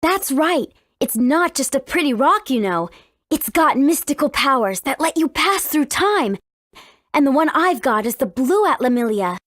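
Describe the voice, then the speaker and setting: A woman's voice: high voice, sing-song, normal speed, a bit bright, no room sound, studio quality. A woman voicing a confident, determined young heroine in a video game. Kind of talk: video game character acting.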